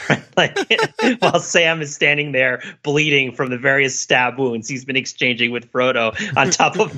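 A man's voice: a little high, pushed and bright. A man hosting a casual film discussion podcast with wry, mock-analytical joking, recorded on a slightly dull microphone. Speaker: podcast host leading the discussion.

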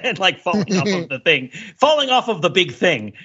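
Animated, high-voiced man, bright podcast chatter. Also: a little fast, husky, dull audio. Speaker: podcast co-host. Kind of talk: casual film discussion.

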